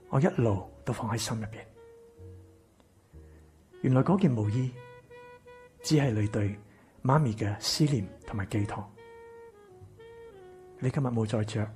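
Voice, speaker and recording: A man with a Hong Kong Cantonese accent acting an earnest, remorseful psychological counsellor in a radio play, speaking in a measured, heartfelt way. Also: lowish voice, warm tone, natural voice. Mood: moved, hopeful. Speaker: actor in a stage radio drama.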